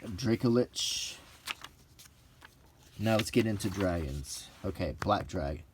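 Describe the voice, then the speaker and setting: Low, slow-talking man over background noise. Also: animated. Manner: unhurried, halting, dryly joking. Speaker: hobbyist video presenter. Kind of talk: casual rambling commentary while browsing a book.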